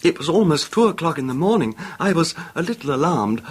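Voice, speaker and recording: An actor playing a nervy, anguished rich husband making a halting, stammering confession in a 1970s radio horror drama. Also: male voice, on the high side, very animated. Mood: distressed, haunted. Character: anguished, nervy, wealthy husband recalling his wife's betrayal. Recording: noisy old 1970s radio recording.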